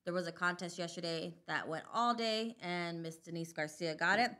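A woman in her thirties with a Southwestern US accent, co-hosting a casual livestream from a small studio on a boom mic, chatty and laughing often. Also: normal speed, pushed and bright. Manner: chatty, laughs often, reads out viewer comments. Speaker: co-host. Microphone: studio mic on a boom, headphones.

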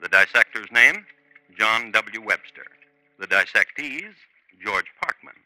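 A man hosting and narrating a 1950s radio true crime show in a deliberate, formal, dramatic storytelling manner. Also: lowish voice, lively intonation, sharp tone, no room sound, rough recording. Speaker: host and narrator. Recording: vintage 1950s radio recording.

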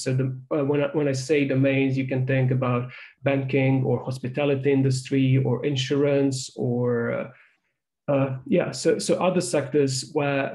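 A man's voice: medium pitch, darkish tone. A middle-aged man whose first language is Croatian gives an online research talk over a video call, often restarting and repeating "so, so". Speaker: guest speaker. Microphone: webcam on a video call.

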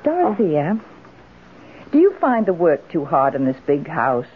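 An actress playing a genteel, well-to-do middle-aged widow in a radio drama, gracious and expressive. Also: female voice, low-pitched, a little slow, a little hiss or hum, exaggerated voice. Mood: gracious, cheerful. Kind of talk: old-time radio drama acting. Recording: muffled, band-limited old radio broadcast.

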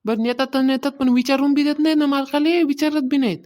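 A woman's voice: high-pitched, steady tone, fast, darkish tone, close to an everyday voice. A woman playing an aggrieved village housewife in a cartoon comedy, complaining colloquially with a rural Maharashtrian accent. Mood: grumbling. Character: aggrieved village housewife fretting over her worship. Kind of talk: amateur cartoon comedy dialogue.

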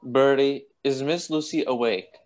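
A man playing a courteous, troubled gentleman doctor with polite, formal speech in a radio play. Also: medium pitch, steady tone, measured pace, natural voice. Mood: preoccupied.

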